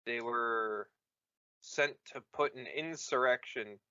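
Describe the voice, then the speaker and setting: A man co-hosting a casual podcast, halting and correcting himself as he goes. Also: mid-range voice, bright, forceful tone, clean tone, dry recording, dull audio. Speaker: podcast co-host. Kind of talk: casual chat.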